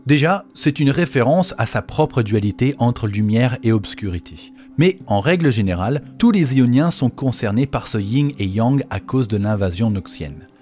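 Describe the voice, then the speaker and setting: A man's voice: medium pitch, animated, moderate pace, few pauses, dark tone. A man narrating a scripted explainer as the host of a video on game lore. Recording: faint music behind the voice. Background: faint background music.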